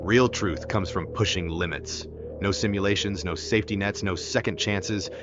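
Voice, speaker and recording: A man playing a fearless, confident billionaire boss in an audiobook drama, smooth and calm over a comlink. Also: low-pitched, normal speed. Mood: calm, defiant. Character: cocky, fearless male billionaire adventurer and boss. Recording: muffled comlink sound under music.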